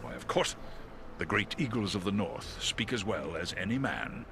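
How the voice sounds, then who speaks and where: Noble giant eagle for a fantasy game: very low, courteous, stately, expressive, over noisy ambience. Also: male voice. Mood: grateful, dignified. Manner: formal, stately, measured. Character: great noble talking eagle, grave and courteous. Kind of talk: video game cutscene acting.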